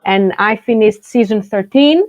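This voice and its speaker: A woman's voice: mid-range voice, normal speed, no echo. Chatty woman on a dull, dry line. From Greece. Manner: chatty, frequent fillers and restarts. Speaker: guest, journalist and content creator. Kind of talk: casual interview chat.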